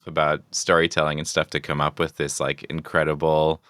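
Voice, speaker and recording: A man with a very deep, smooth voice chats casually as a podcast co-host. His tone is bright and forward, in a dry recording. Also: flat delivery, a little fast, no room sound. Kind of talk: casual reactive chat.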